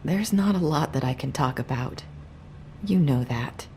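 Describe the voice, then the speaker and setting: A sorrowful young game character with a low voice. She speaks slowly and hesitantly, guarding what she says, over audible background noise. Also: expressive, mellow. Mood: guarded. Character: guarded, sorrowful young woman hiding secrets. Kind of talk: video game dialogue acting.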